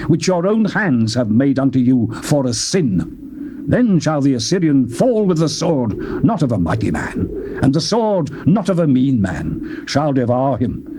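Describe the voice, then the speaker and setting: A man reading scripture aloud in a solemn, declamatory manner, with wide swings in pitch. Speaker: Bible reader. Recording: loud background noise.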